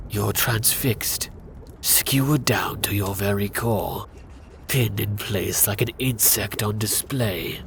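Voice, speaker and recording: A man narrating a scripted fantasy ASMR roleplay in a hushed, often whispered voice with dramatic pauses. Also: fairly low pitch, vocal fry, noisy background.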